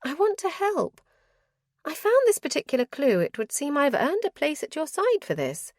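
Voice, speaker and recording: A woman's voice: sits high, huge pitch swings, normal speed, dry recording, clean studio sound, voice pushed up, exaggerated voice. A woman voicing an earnest young noble wife who speaks in an eager, insistent way.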